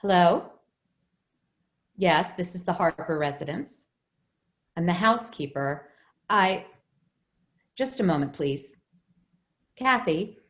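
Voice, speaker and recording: A woman playing a gentle, anxious housekeeper who protects a child, hesitant and soothing, in old-time radio drama style. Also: lowish voice, animated, slow, pauses often. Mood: guarded, uneasy.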